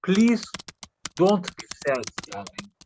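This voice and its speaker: A man playing a desperate Russian scammer posing as a loving suitor, composing haltingly aloud in readers theater, on a noisy recording. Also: high-pitched, very animated, heavily acted. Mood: mournful, manipulative. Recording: noisy recording with clicking keys.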